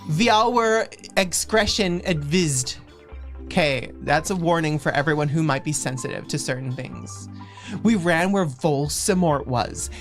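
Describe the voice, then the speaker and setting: A woman's voice: low voice, measured pace, soft background music. A woman hosting a livestream, giving a comedic, theatrical reading of fan fiction and stumbling over the text.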